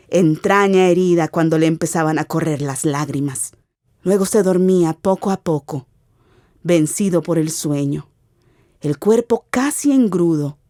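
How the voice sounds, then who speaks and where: A woman giving an expressive, theatrical narration of a literary radio drama as its narrator. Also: lowish voice, dry recording, clean studio sound. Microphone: close studio mic.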